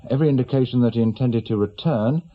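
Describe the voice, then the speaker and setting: A man playing an authoritative, probing senior police detective in a radio drama, questioning briskly and methodically. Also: medium pitch, close to an everyday voice. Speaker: actor in a radio play. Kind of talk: naturalistic radio drama acting. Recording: dull, lo-fi radio drama recording.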